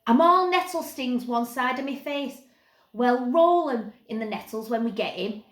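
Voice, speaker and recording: A woman voicing the rough eldest boy of a gang, threatening in coarse dialect. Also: fairly high pitch, lively intonation, moderate pace, roomy sound, pitched above the performer's own voice, lightly acted.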